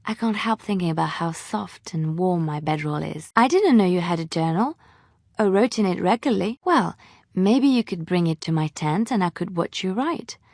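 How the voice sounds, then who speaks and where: An actress playing a devout, romantic young woman adventurer in a video game, heartfelt, coy and emotionally expressive. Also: medium pitch, no room sound, studio quality. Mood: coy, teasing. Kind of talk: video game dialogue acting.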